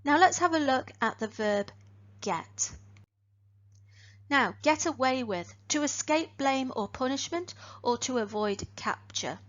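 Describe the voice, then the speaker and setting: A woman teaches a lesson, her voice sitting a little high. She speaks clearly and deliberately and lifts each new phrasal verb. The recording sounds slightly dull. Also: animated. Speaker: English teacher. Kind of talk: online grammar lesson.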